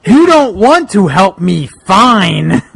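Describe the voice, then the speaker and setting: A man voicing a defiant monster hero in a comic fan fiction reading, with theatrical, taunting bluster and a gravelly, strained voice. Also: high-pitched, very animated, slow pace, fairly bright tone, no room sound, heavily acted.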